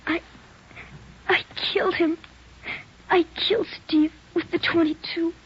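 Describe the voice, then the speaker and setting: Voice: very high voice, steady tone, measured pace. A performer playing a frightened, guilt-stricken young boy near tears in an old radio drama. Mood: distraught confessing. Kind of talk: 1950s radio drama acting. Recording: dull old radio recording.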